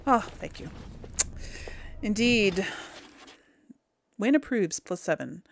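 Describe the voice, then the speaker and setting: A woman's voice: medium pitch, animated, slow, vocal fry. A woman hosting a casual game playthrough, thinking aloud hesitantly and murmuring to herself as she goes. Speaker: gaming video host.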